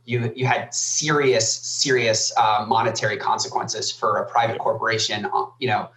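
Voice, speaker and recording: A man's voice: fairly low pitch, little pitch movement, normal speed, fairly bright tone, a little hiss or hum. A man speaking as a podcast guest, thoughtful and hedging with frequent fillers. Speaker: guest, former army cyber officer. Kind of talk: casual podcast discussion. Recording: echoey room.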